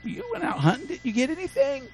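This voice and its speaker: A man's voice: very high voice, huge pitch swings, fast talker, a crowd in the background, pitched above the performer's own voice, heavily acted. A male preacher voicing Jacob, a scheming younger brother and a sly cheat, with a whiny, teasing manner. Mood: taunting.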